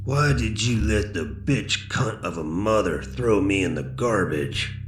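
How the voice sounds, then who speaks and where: Horror audio monster: vengeful reanimated dog, deep and cold, crawling pace, loud music beneath. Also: male voice, animated. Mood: cold accusation. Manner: slow, drawn-out, accusing delivery. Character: vengeful undead taxidermied dog, slow and menacing. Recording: echoing voice over loud music.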